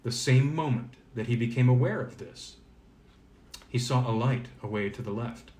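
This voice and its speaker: A man's voice: mid-range voice, normal speed, a little mellow. Male storyteller in an echoey room. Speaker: storyteller reading aloud. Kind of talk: expressive audiobook narration of a fairy tale. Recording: roomy, echoing sound.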